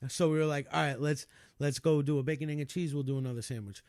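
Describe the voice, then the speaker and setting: A man's voice: on the high side, fast talker, no room sound, studio quality. A man in his thirties, a podcast guest, telling stories in casual chat and laughing often. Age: 30s. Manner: animated storyteller, laughs often.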